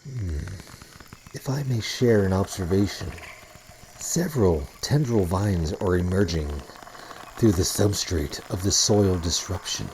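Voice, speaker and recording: A man voicing a polite, bookish alien scientist with formal, precise, deadpan speech in a comedy radio drama. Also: deep voice, unhurried, a little hiss or hum, rough recording. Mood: dry, observant. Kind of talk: sci-fi comedy radio acting.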